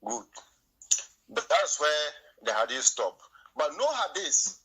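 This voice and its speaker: Husky Ghanaian man arguing haltingly. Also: on the high side, very animated, bright, forceful tone, poor audio. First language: Twi. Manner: repetitive, halting, argumentative. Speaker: guest. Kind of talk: heated debate.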